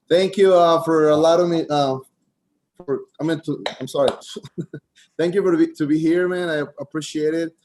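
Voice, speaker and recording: A man from Costa Rica with a Costa Rican Spanish accent and Spanish as his first language, doing a halting first stand-up set over a video call and often restarting his sentences. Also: on the high side, fairly even intonation, a little mellow, slight background noise. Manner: halting, frequent restarts, keeps saying "man". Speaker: first-time open mic comedian.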